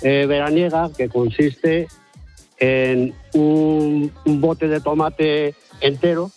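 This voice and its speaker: A man's voice: fairly high pitch, steady tone, takes it slowly, a little mellow, soft background music. A man from La Mancha, calling a radio phone-in and explaining something casually, in a polite, hesitant way. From La Mancha, Spain.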